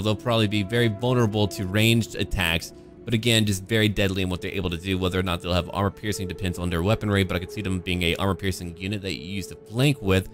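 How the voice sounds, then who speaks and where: A man with a fairly low voice talks through a game in a casual, conversational style. He sounds keen as he speculates, and music plays faintly behind him. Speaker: gaming video creator, commentator. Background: background music.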